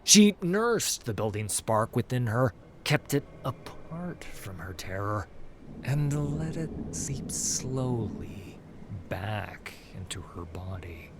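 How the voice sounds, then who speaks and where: Slow, intense male fantasy narrator. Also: on the low side, very animated. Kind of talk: dramatic scripted fantasy narration. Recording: clear noise and ambience behind. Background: ambience and music.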